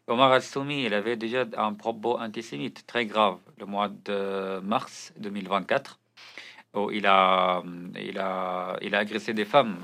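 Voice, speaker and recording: A man's voice: on the low side, fairly even intonation, a little slow, pushed and bright. A man whose first language is Arabic, answering interview questions as an author, hesitant with frequent fillers and restarts.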